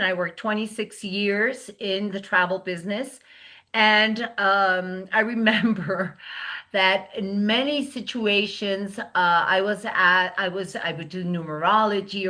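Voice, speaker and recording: Slow, bright-voiced woman searching for words. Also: medium pitch. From United States. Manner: slow, searching for words, many fillers and restarts. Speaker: interview guest. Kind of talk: casual interview talk.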